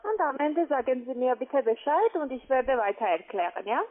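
A woman's voice: high voice, lively intonation, moderate pace, phone-quality sound. A woman with a foreign accent in German, a call-center agent giving insistent step-by-step instructions over the phone. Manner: insistent, repeatedly checking with tag questions.

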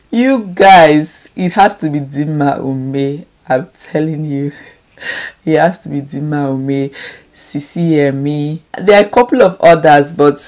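Young woman, Nigerian accent, low warm voice, chatty home vlogger talking to a close camera. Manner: chatty, rambling, reads questions aloud. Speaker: beauty YouTuber. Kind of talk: casual Q&A to camera. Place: indoor room at home.

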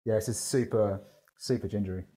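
A young man with a croaky voice co-hosting a podcast, chatting easily about a drink at a brewery table. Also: on the low side, fairly even intonation, brisk, warm tone. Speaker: podcast co-host. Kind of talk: casual tasting chat. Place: brewery room with steel tanks, indoors.

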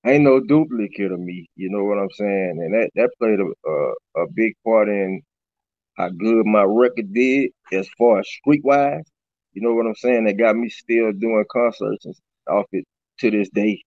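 A middle-aged rapper from Baton Rouge, talking loosely in an interview over a video call. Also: male voice, fairly low pitch, moderate pace, no echo. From Baton Rouge, Louisiana. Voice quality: raspy. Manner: loose, repeats "you know what I'm saying". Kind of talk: casual interview chat. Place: small room indoors. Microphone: webcam on a video call. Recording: dull lo-fi video call audio.

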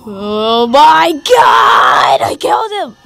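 A woman acts out a bumbling cartoon clown, careless and self-absorbed. The delivery is animated and swooping, full of muttering and exclamations. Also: high voice, extremely slow, gravelly, shouting, exaggerated voice. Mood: panicked. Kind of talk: cartoon fan dub.

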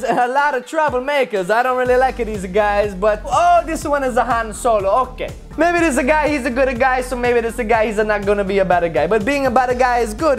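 Lady putting on a high voiced cartoon plumber guy, fake italian accent, excitable n scolding, bit of music behind. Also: close to an everyday voice. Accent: mock Italian. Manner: broken grammar, exclamatory, playful scolding. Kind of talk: playful toy unboxing in character.

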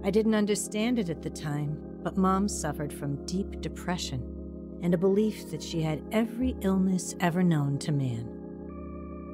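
A grown woman looking back on her childhood in a radio drama, read by an actress. Her voice sits fairly low and stays measured. Music plays clearly under her narration. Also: lightly acted. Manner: reflective, measured. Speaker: narrator recalling her own life. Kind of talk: scripted first-person narration.

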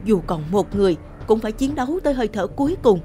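Woman acting loyal male general, proud, stern, defiant, high-pitched, historical cartoon, faint music. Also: animated, fast, natural voice.

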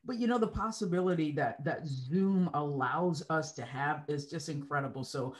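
A woman's voice: deep voice, moderate pace. A middle-aged woman hosting a podcast interview over a video call, enthusiastic and gushing, often repeating herself. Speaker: podcast host. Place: home studio, video call. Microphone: large studio mic with earbuds.

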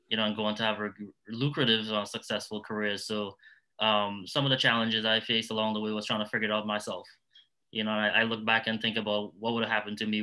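Middle-aged Trinidadian man, panelist. Low-pitched, forceful bright tone, talking from experience. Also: poor audio. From Trinidad and Tobago. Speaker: nonprofit founder, panelist. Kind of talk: panel discussion talk, personal stories.

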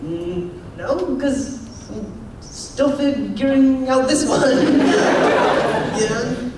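A man's voice: very high-pitched, expressive, very slow, slight background noise. A young man doing stand-up with a heavy stutter, repeating sounds and laughing at his own jokes, on stage in a large hall. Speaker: stand-up comedian. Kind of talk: stand-up Q&A with audience. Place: large campus event hall, on stage before seated audience. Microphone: handheld mic, far camera. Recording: echoey hall from far off, crowd laughter.

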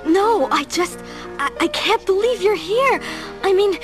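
An actress playing an earnest, awkward young princess in a radio play, with a hesitant manner. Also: female voice, very high-pitched, expressive, unhurried, music in the background. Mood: awkward, sincere.

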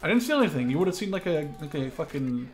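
A man's voice: on the high side, sing-song, quick pace. A man chatting casually and swearing as he plays a video game with teammates. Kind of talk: casual gaming chat with teammates. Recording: noisy game audio behind voice. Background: video game battle sounds.

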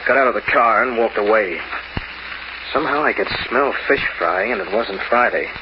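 An actor playing a cynical, streetwise locksmith who narrates in hardboiled slang, in an old-time radio noir style. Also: male voice, medium pitch, normal speed, natural voice. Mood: wry narrating. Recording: noisy, muffled old radio recording.

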